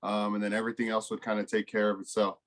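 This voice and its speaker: A man in his twenties from California with an American accent, giving casual, laid-back interview answers with frequent pauses. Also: on the low side, monotone, fairly bright tone. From California, United States. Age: 20s. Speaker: college football player, interview guest.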